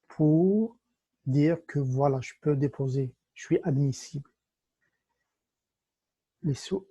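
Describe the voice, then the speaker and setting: Husky dark-toned man. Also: fairly high pitch, dry recording. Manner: explains step by step, talks straight to the viewer and gives advice. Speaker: video tutorial host. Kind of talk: casual explainer walking through a website.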